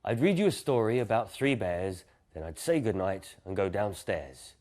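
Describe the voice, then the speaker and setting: A man's voice: fairly low pitch, expressive, normal speed, a little mellow, lightly acted. A man voicing a small, bossy and imaginative girl in a children's picture-book story, in a firm, matter-of-fact way. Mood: pondering.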